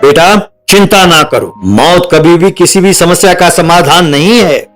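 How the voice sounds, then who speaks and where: A man voicing a kindly, fatherly magical tree in a cartoon tale, speaking in a gentle, reassuring manner. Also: high voice, expressive, measured pace, music in the background, voice pushed down. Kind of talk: Hindi cartoon storybook dialogue.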